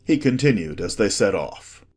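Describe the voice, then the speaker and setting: A man's voice: fairly low pitch, very animated, normal speed, darkish tone, breathy edge, soft background music. A man reading scripted storybook narration as the narrator of an audiobook.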